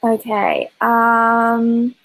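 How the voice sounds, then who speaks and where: A woman with a fairly high voice plays a quiz over a video call. She chats casually and thinks out loud, unsure and a little apologetic. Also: little pitch movement, extremely slow. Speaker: quiz contestant. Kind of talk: casual chat, thinking aloud.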